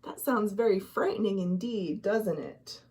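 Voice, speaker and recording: A woman reading a children's story aloud in an expressive, dramatic way, as a storytime host. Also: mid-range voice. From United States. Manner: expressive, dramatic, deliberate delivery with hushed phrases. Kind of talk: animated picture-book storytelling for children.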